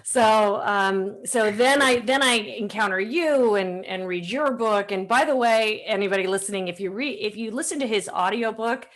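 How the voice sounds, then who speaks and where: A woman hosting a podcast interview in an informal, conversational and somewhat halting way. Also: medium pitch, fairly bright tone. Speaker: podcast host.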